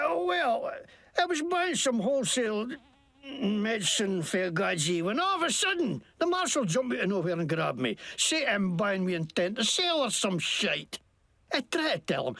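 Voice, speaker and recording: An actor playing a crude, scheming wasteland mechanic who fakes a bad Scottish accent and rants with profane, jumpy indignation in a video game. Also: male voice, high-pitched, gravelly, fairly bright tone, no echo, full character voice. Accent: put-on, badly faked Scottish. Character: crude scheming wasteland grease-monkey man faking Scottish. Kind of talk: video game character acting.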